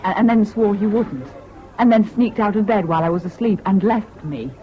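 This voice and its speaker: A woman's voice: medium pitch, normal speed, natural voice. Old dull radio play tape, actress doing a bitter british woman, cutting and sardonic, fiercely independent. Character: bitter, scornful, world-weary wartime woman, fiercely independent. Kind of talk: 1970s British radio drama acting.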